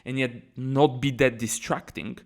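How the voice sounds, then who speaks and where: A man's voice: mid-range voice, steady tone, measured pace. A man co-hosting a podcast, chatty and easygoing, moving the conversation from topic to topic. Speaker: podcast co-host.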